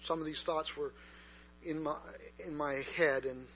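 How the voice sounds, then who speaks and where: A man's voice: fairly high pitch, steady tone, unhurried. A man preaching a sermon in a conversational way, with many fillers and restarts. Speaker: pastor. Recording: dull lo-fi recording with mains hum.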